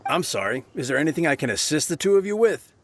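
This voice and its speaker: A man's voice: fairly high pitch, very animated, quick pace, very noisy. A man voicing a courteous, dutiful guardsman in a video game, polite and earnest. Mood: polite, reluctant. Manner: polite, formal.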